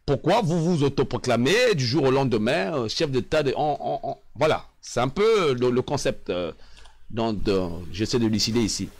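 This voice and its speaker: A man's voice: mid-range voice, expressive, moderate pace. A man hosting a political interview, wordily circling and rephrasing his questions. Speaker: interviewer, show host.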